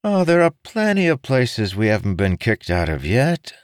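A man voicing an easygoing monk in an audiobook story, wry, relaxed and playfully teasing. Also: medium pitch, moderate pace, clear, resonant tone, dry recording, studio quality, pitched above the performer's own voice.

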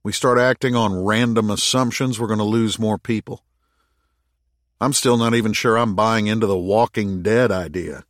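A man voicing a gruff, skeptical moon colony worker in an audiobook, with blunt, sardonic retorts. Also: on the low side, steady tone, normal speed, no echo, clean studio sound, lightly acted. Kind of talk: audiobook character dialogue.

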